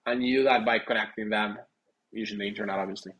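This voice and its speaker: Man explaining in halting phrases. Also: lowish voice, fairly even intonation, a bit bright, muffled recording. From Argentina. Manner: halting, searching for words, explanatory. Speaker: startup executive, interview guest. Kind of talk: conversational interview.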